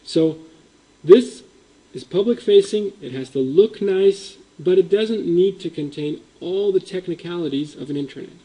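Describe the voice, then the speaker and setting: Male presenter, fairly high, mellow voice, explaining a system with ums and likes. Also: moderate pace, rough recording. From Indonesia. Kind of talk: conference presentation. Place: meeting room.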